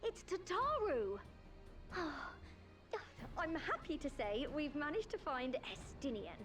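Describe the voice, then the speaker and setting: A woman playing a cheerful, easily flustered secretary in a video game, chatty and expressive. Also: high-pitched, takes it slowly, exaggerated voice. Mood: apologetic, upbeat. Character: cheerful, flustered female secretary calling by link device. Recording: loud music underneath. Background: orchestral game music.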